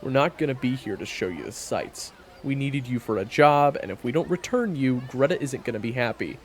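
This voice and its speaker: A man's voice: medium pitch, expressive, brisk, lightly acted. A man playing a bitter, blunt monster hunter who talks in weary slang in an audio roleplay drama. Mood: irritated, gruff.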